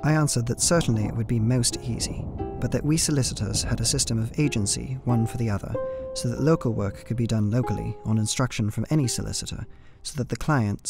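A man's voice: mid-range voice, lightly acted. An actor playing an earnest, uneasy young English solicitor, narrating his journal formally in a radio play. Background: plucked guitar music.

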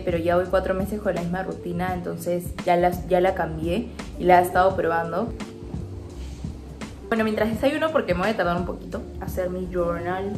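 A woman's voice: fairly low pitch, echoey room. A young woman in her twenties from Peru, with a Peruvian Spanish accent, chatting upbeat and confiding as a daily vlogger. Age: 20s. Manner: talkative, upbeat, confiding to viewers. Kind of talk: casual chatty vlog narration. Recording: background music under voice.

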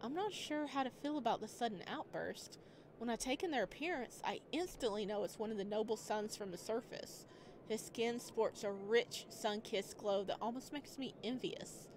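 A woman reading a visual novel aloud at home, with quick joking asides between lines of narration. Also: a little high, animated, a little hiss or hum, low-quality recording. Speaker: game streamer.

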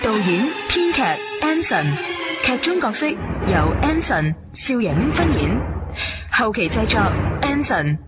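A woman's voice: a little high, sing-song, measured pace, long pauses, over loud music. A woman with a Cantonese accent reading a scripted suspense intro as a radio programme announcer. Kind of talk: scripted suspense trailer and credits.

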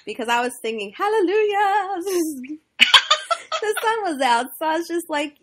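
A woman's voice: very high-pitched, expressive, unhurried, very noisy. A woman hosting an interview podcast, affirming her guest often and laughing easily. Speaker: podcast host. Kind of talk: casual interview.

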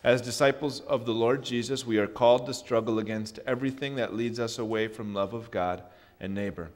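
A young man leading a worship service as pastor, moving from plain spoken notices into a measured liturgical reading, heard from a camera at the back of a large church sanctuary. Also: medium pitch, fairly even intonation. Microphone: far camera at back of church. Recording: long church reverb from far off.

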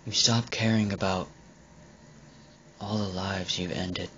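A man voicing a brooding, bitter young killer artist in a halting, menacing confession, breathy and often dropping to a whisper. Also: lowish voice, pushed and bright, highs cut off, a little hiss or hum. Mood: cold, brooding. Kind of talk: dramatic villain monologue.

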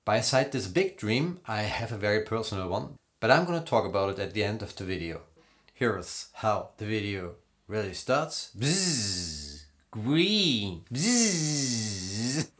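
A German actor in his forties with a German accent, presenting himself slowly and playfully in a studio demo reel. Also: medium pitch, a bit bright. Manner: slow, deliberate, playful, with laughs. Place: green screen studio.

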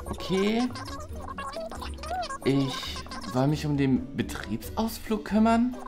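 A woman's voice: low-pitched, sing-song, slow pace, music in the background, exaggerated voice. A woman playing a lazy, easily annoyed teacher in a comedy sketch, grumbling with theatrical reluctance. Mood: grudging.

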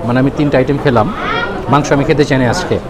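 A man's voice: medium pitch, fairly even intonation, quick pace. Male Bangladeshi food vlogger, chatty restaurant review sprinkled with English, recorded amid busy urban bustle. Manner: chatty, with English words mixed in. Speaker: food and travel vlogger, host. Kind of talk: casual restaurant review to camera. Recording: busy roadside traffic and chatter behind.

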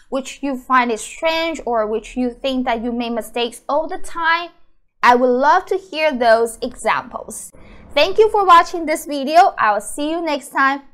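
High-voiced, slow Mandarin teacher woman. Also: fairly bright tone. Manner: slow, careful repetition of example phrases. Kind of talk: language lesson to camera. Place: small indoor room.

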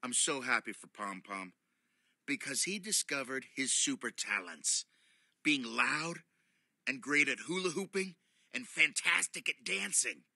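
A middle-aged man reading a children's bedtime story aloud in an animated, playful, theatrical way from a cosy studio armchair. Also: medium pitch, measured pace, vocal fry, breathy edge, dry recording.

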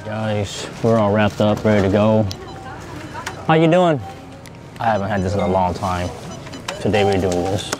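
A man chatting casually to his viewers as he shops in a grocery store, thinking out loud and repeating himself. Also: on the low side, normal speed, long pauses, over music. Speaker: vlogger. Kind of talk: casual vlog chat.

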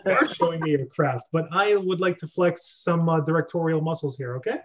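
Higher-voiced man directing, slightly dull audio. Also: fairly quick, a little hiss or hum. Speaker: host and director of the reading. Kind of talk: casual directing and chat. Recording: dull video-call audio.

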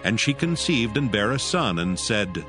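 Male scripture narrator: solemn, measured, mid-pitched, expressive intonation, light music bed.

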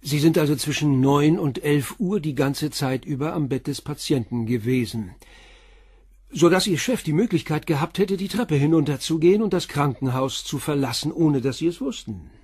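Stern radio-drama detective, husky, grilling a witness hard, dry studio sound. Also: male voice, on the high side, lively intonation, moderate pace, a little mellow, no echo. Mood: probing. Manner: blunt, pressing interrogator. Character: stern, probing male police inspector. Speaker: police commissioner.

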